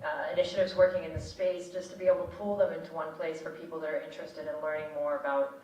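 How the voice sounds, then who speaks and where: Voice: medium pitch, flat delivery, fast, a little mellow. A conference moderator speaking loosely, with many fillers, while hosting a panel session. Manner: loose, filler-heavy, friendly. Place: large conference hall. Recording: very echoey hall, distant mic, background noise.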